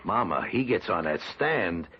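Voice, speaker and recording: An actor playing a rough but deferential mob henchman in an old radio drama, with slangy, halting, uneducated talk. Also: low voice, very animated, muffled recording, heavily acted. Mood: conspiratorial, urging. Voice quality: gravelly, husky.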